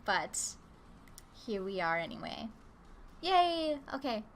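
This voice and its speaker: Woman streaming herself drawing, kinda nasal from a cold, talks slow and easygoing, lots of ums. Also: mid-range voice, very animated, slight background noise. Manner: easygoing, rambling, lots of filler words. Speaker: live-stream artist host. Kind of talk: casual live-stream chat while drawing. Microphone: open desk microphone.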